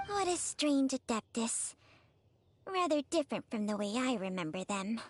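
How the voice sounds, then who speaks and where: A woman's voice: fairly high pitch, very animated, normal speed. A woman reading video game dialogue aloud on a stream.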